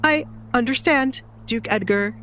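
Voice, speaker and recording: A woman's voice: a little high, a little slow, a bit bright, a little hiss or hum, voice pushed up. A woman playing a cowed, resentful older baron and father in an audio drama, nervous and indignant. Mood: fearful. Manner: nervous before superiors, indignant when alone.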